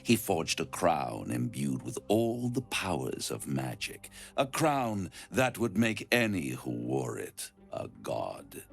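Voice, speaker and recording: A man voicing a suave, ancient, scheming devil in a video game, silky and grandiose, savouring words with dramatic pauses. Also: very deep voice, sing-song, creaky, faint music behind, exaggerated voice. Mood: gleefully grim. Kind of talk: theatrical video game villain monologue.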